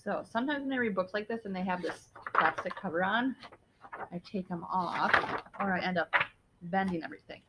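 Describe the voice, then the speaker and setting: A woman reading a picture book to children, talking casually and directly to her listeners in an expressive way. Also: mid-range voice, fairly quick, heavy background noise. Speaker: storybook reader. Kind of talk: children's read-aloud, casual address to young listeners.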